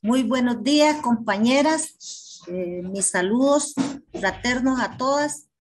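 A middle-aged woman giving a halting, informal political speech on a video call, often adding tag questions. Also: fairly high pitch, animated. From Nicaragua. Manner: hesitant, with frequent tag questions. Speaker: activist speaker at a meeting. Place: small indoor office, on a video call.

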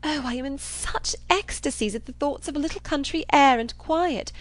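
A woman's voice: sits high, moderate pace, no room sound, voice pushed up, close to an everyday voice. A woman voicing a gushing, theatrical young lady who is effusive and pushy, in a storybook reading. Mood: ecstatic. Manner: effusive, exaggerated endearments.

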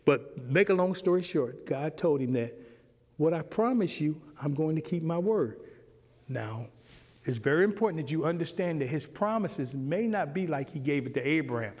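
Animated middle-aged man preaching in a church. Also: fairly high pitch, moderate pace, long reverb tail. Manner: animated, acts out dialogue with God, swings loud and quiet. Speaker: Sunday school teacher. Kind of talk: preaching Sunday school lesson. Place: church sanctuary, standing before a pulpit.

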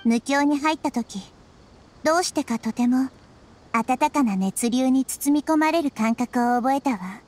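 A woman voicing a gentle, wise child-like girl deity in a Japanese anime game, measured and reflective. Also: high voice. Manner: measured, soft-spoken, reflective.

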